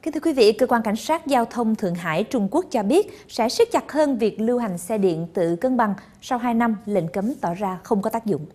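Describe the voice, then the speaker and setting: A woman's voice: on the high side, animated, moderate pace, hardly any pauses, a little mellow. A young woman presenting the news in a TV studio, addressing viewers in a polished, formal manner. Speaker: news anchor.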